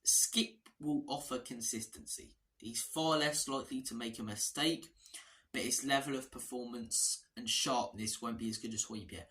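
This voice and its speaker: Hoarse man previewing football. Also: medium pitch, normal speed, pushed and bright. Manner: chatty, digressive, restarts phrases. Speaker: fan channel host. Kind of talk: casual football preview monologue.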